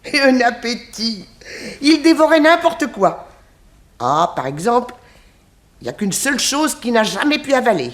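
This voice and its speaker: An actress in a 1960s French radio detective drama playing a kindly, talkative old former nanny, with a homely, fondly reminiscing manner. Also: female voice, fairly high pitch, big pitch swings, normal speed. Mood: chatty, proud. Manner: homely, reminiscing, sighing exclamations.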